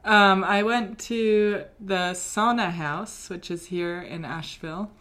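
A woman's voice: mid-range voice, slow pace, a bit bright. A young British woman co-hosting a podcast, telling a story in a casual, rambling way with many ums and likes. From Britain. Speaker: podcast co-host. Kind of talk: casual chat.

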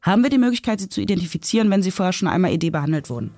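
Procedural policeman, high brisk voice, official explanatory manner, audio drama, dry sound. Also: animated, darkish tone, no echo. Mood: matter-of-fact. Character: matter-of-fact, procedure-minded male police officer.

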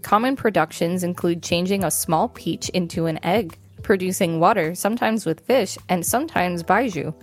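A woman's voice: on the low side, moderate pace, hardly any pauses, faint music behind. A female podcast co-host reading an encyclopedia article aloud in a casual, informal setting.

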